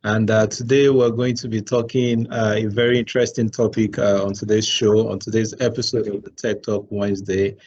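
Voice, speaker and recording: A man's voice: fairly low pitch, flat delivery, fairly quick, hardly any pauses, darkish tone, lo-fi sound. A man hosting an online tech talk show, hesitant and repeating words with many fillers, over a video call. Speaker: host.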